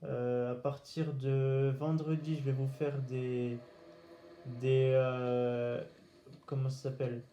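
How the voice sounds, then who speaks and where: A man hosts a casual gameplay video in a flat, unhurried voice. He speaks haltingly, often searching for the next word, and the room around him is noticeably echoey. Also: medium pitch, lo-fi sound, faint music behind. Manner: hesitant, halting, searching for words. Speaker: gaming video host. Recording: roomy echo, faint background noise.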